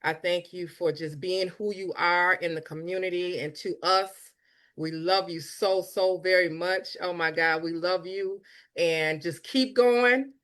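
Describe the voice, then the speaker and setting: A woman's voice: lowish voice, a little slow, a bit bright, clear, resonant tone. An American woman hosting a talk show, speaking in an encouraging, affirming way and repeating short phrases.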